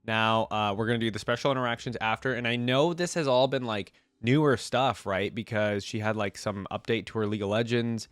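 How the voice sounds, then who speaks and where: A low-voiced man with a stuffy, nasal cold hosts a reaction video. He reads aloud and thinks out loud in informal asides. The sound is dry, with very little room in it. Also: steady tone, brisk, few pauses, smooth, no room sound. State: has a cold. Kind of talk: casual reaction commentary, reading aloud and commenting.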